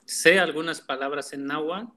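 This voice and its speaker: A man's voice: fairly high pitch, normal speed, bright, forceful tone. A man joining a panel as a guest, speaking politely and hesitantly.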